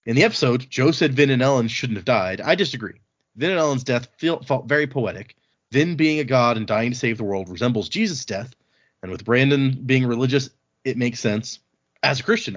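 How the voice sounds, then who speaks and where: A man's voice: mid-range voice, muffled recording. A man from Texas hosting a podcast, chatting casually and reading out listener mail. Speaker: podcast host.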